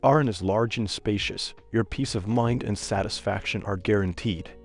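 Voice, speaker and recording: A man's voice: fairly low pitch, normal speed, lightly acted. A man playing an eager, obsequious inn page in a dubbed comic drama. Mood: ingratiating. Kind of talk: dubbed comic-recap character dialogue. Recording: voice over background music.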